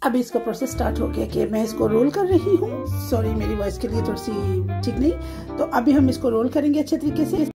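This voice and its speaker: A woman's voice: fairly high pitch, lively intonation, fairly quick, creaky, music in the background. A woman from Pakistan in her thirties hosting a home cooking video, talking casually and mixing English words into her speech with frequent check-ins to viewers. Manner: chatty, mixes English into Urdu, frequent "theek hai ji" check-ins. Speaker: cooking channel host. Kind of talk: cooking tutorial voice-over. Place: home kitchen.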